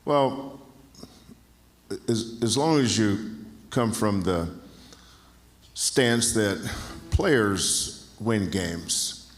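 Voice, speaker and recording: Slow-talking older man. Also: on the low side, expressive, soft background music. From North Carolina. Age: 60s. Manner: folksy storytelling, restarts phrases. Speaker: basketball coach. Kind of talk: onstage interview at an awards banquet.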